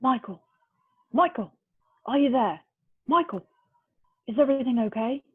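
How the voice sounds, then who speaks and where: A woman's voice: fairly high pitch, expressive, unhurried, slightly rough, full character voice. A woman voicing a scheming male village leader who calls and gives orders over a walkie-talkie, read on a video call. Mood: urgent. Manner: urgent calling, then cold orders. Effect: walkie-talkie radio. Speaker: table read actor. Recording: tinny phone-like line.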